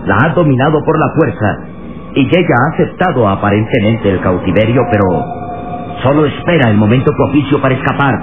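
An actor narrating a vintage adventure radio serial in a solemn, suspenseful, emphatic manner. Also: male voice, medium pitch, expressive, fairly quick, over music, close to an everyday voice. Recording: tinny old radio recording.

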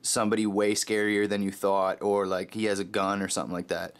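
A man's voice: fairly low pitch, little pitch movement, brisk, clean tone. A man conducting a casual interview in a laid-back, conversational way. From Canada. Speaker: interviewer, web broadcaster.

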